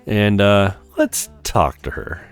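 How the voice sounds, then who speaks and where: A man's voice: lowish voice, very animated, slow pace, clean tone. A man hosting a let's play with casual gameplay commentary.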